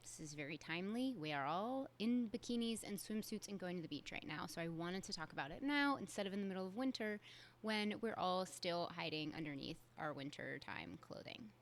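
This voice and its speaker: A woman's voice: lowish voice, lively intonation, a little fast, slight background noise. A young adult woman, hosting a solo podcast in a candid, conversational way with casual fillers. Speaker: podcast host.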